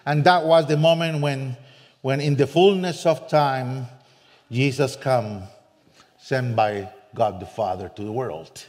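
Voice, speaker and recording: A man from Costa Rica with a Costa Rican Spanish accent, a native Spanish speaker, preaching as a guest with earnest, self-deprecating warmth and frequent restarts. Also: mid-range voice, takes it slowly. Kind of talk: sermon. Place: church sanctuary. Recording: long church reverb.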